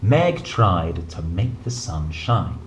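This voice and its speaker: A man in his thirties reading a picture book to young children, playful and expressive, with chatty asides. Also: sits low, slow pace. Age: 30s. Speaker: storyteller, host reading children's books. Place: indoor room, seated at a table. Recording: roomy echo, some background noise.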